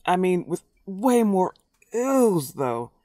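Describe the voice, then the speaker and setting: A woman's voice: on the low side, sing-song, takes it slowly, mellow, dry recording, clean studio sound. A woman playing a love-obsessed werewolf girl in a comedic roleplay audio drama, playful, taunting and cocky. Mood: awkward. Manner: playful, taunting, swooping emphasis.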